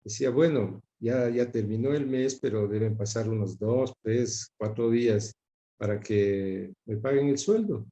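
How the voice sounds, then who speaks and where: A middle-aged man with an Ecuadorian accent, reminiscing in an interview and often pausing to search for words. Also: mid-range voice, mellow, highs cut off. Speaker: interview guest recalling his father's career. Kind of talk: reflective spoken recollection in an interview.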